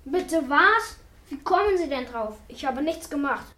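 A woman's voice: high-pitched, animated, a little slow, sharp tone, heavily acted. A woman playing a defiant, indignant male blackmailer in an amateur radio play. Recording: roomy, echoing amateur recording.

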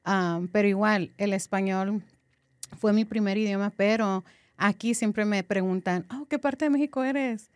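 A woman with a Texan Mexican-American accent chats easily, slipping between Spanish and English and laughing often. Her voice is mid-pitched, and the dry, close recording has little room sound. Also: unhurried, darkish tone, no room sound. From San Antonio, Texas. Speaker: podcast co-host. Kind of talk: casual chat.

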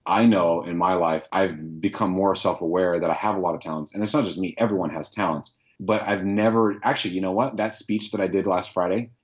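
A man with a deep voice answering interview questions in an earnest, reflective way, thinking aloud. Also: fairly even intonation, fairly quick, few pauses, dull audio. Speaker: podcast host, guest here.

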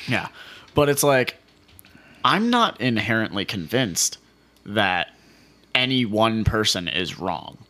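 Male podcast co-host, lowish pitch, slangy and informal, chatting casually and jokingly. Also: very animated, takes it slowly, pauses often, pushed and bright. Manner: informal slangy speech, says "dude" and "like" often. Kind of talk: casual comedic podcast chat.

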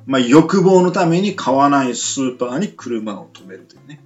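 A woman's voice: extremely low pitch, expressive, moderate pace, a little mellow. A woman podcast host chatting casually in a western Japanese accent, with a teasing, bantering manner. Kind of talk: casual two-person radio chat. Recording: muffled band-limited sound over music. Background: music.

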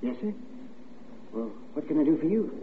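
Eager-to-oblige male householder, nervous, lively intonation, old radio drama, thin hissy recording. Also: on the high side, normal speed, narrow, tinny audio. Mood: uneasy politeness.

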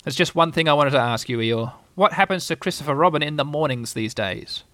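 A man's voice: fairly high pitch, animated, bright, forceful tone, dry recording. Casting: curt, bustling storybook rabbit, read by a man in a dry close sound. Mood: brisk. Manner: brisk and self-important. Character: brisk, self-important male rabbit.

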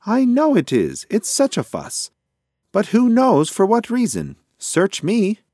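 Man voicing an excitable, high-pitched pigeon. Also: takes it slowly, no echo, clean studio sound, heavily acted. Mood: flustered, fussy. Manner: blustering, exclamatory. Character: excitable, muddle-headed, fussy male pigeon friend. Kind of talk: children's storybook character voice.

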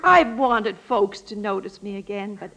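An actress playing a lonely, attention-hungry old former actress in a radio drama, chatty, folksy and coyly evasive. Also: female voice, medium pitch, lively intonation, measured pace, fairly bright tone, low-quality recording. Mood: wistful, sly.

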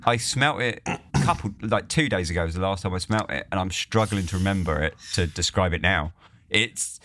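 A young man co-hosting a casual podcast, talking in animated bursts, stumbling and repeating words as he starts sentences. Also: low voice, a little fast. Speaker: podcast co-host. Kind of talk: casual chat.

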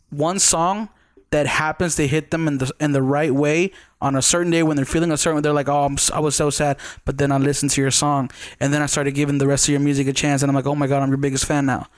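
Fast-talking man hosting a chat. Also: on the high side, dry recording, clean studio sound. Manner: rambling, conversational, many "like" and "right?" tags. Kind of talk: casual podcast conversation.

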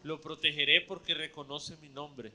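Bright-voiced man interpreting a sermon. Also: on the high side, steady tone, normal speed. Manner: follows each phrase, with fillers.

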